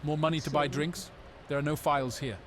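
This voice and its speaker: A man's voice: a little high, steady tone, brisk, crowd noise, lightly acted. A man voicing a surly, money-minded underworld thug with a confrontational manner in fantasy game dialogue.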